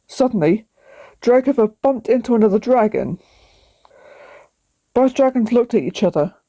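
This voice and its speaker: A woman reads a story aloud as the narrator. Her voice is fairly high and mellow, recorded dry, and she occasionally trips over words and starts them again. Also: animated, normal speed, dry recording, highs cut off.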